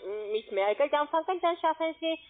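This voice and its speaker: A woman whose first language is not German, pitching an investment over the phone in halting, broken phrases. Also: lively intonation. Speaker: scam call center agent. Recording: tinny phone line.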